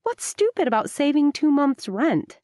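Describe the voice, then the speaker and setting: A woman's voice: high voice, big pitch swings, moderate pace, a little mellow, no room sound, voice pushed up. Woman voicing a stubborn young woman, earnest and a bit defensive, dry close sound.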